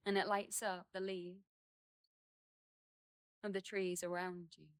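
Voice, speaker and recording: A woman guides a meditation in a slightly low voice that barely moves in pitch. Her phrases are gentle and unhurried, with long pauses between them. The sound is dry and close, with hardly any echo, though she is speaking outdoors in a garden. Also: level tone. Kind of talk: guided meditation, slow and soothing instruction.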